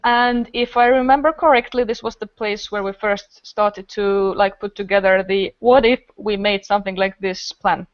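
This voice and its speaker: A woman's voice: medium pitch, fairly even intonation, normal speed, few pauses, dry recording, highs cut off. A woman with an Estonian accent telling stories casually and enthusiastically in a group conversation. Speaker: podcast guest, improviser and festival organiser.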